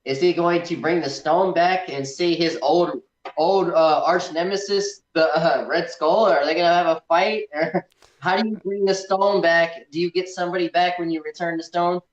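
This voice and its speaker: A man's voice: fairly high pitch, fairly even intonation, moderate pace. A man co-hosting a casual film review chat, speaking in an informal, enthusiastic way. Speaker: podcast host. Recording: echoey room, slightly dull sound.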